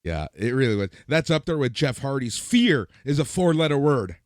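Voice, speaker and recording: A man hosting a wrestling podcast in casual chat. His voice is almost gone, and he runs quickly through plugs for the network's shows. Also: medium pitch, big pitch swings, no echo, clean studio sound. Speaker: podcast host.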